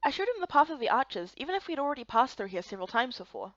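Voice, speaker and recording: A woman's voice: high-pitched, sing-song, racing, fairly bright tone, no echo, dull audio. A woman reading scripted first-person story narration for a visual novel game.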